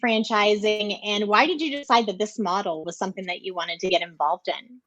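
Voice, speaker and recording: A woman's voice: medium pitch, a little fast, fairly bright tone, lo-fi sound. A woman hosts an interview and asks her guest friendly, inviting questions. Her voice sits in a middle range. The sound is dry, with no room echo.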